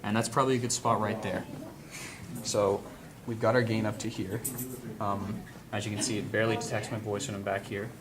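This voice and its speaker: A man giving a casual hands-on tutorial in an informal, halting way, thinking aloud as he works as an instructor. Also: on the low side, little pitch movement, crowd noise. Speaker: instructor demonstrating audio equipment. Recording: clearly noisy room recording with handling sounds.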